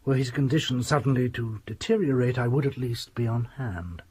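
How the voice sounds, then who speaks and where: An actor playing an imperious, sinister gentleman doctor in a radio drama, formal, measured and condescending. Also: medium pitch, breathy edge, natural voice. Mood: cool courtesy.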